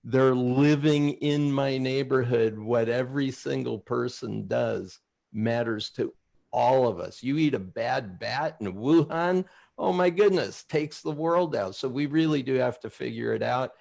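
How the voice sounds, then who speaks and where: Gravelly-voiced man guest from Minnesota. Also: medium pitch, a little slow, a little mellow. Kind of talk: casual chat.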